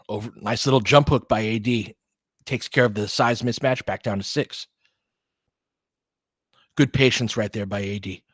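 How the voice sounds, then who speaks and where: A man's voice: on the low side, slightly rough, dry recording, clean studio sound. A man giving conversational, partisan basketball play-by-play commentary, reacting openly to the action as it unfolds.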